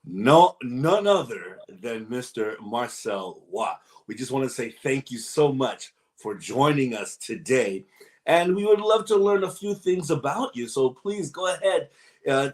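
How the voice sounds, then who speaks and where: A man's voice: medium pitch, a little slow. A man moderating an interview series, upbeat and effusive as he welcomes viewers and introduces his guest. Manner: upbeat, effusive, repeats "excited". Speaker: host, moderator.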